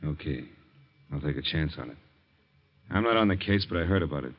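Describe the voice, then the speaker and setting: A man's voice: rumbling low, expressive, a little fast. A man playing a weary, suspicious American police detective in a 1940s radio drama, terse and probing, with restrained sternness. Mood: grudging trust. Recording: muffled old-time radio recording.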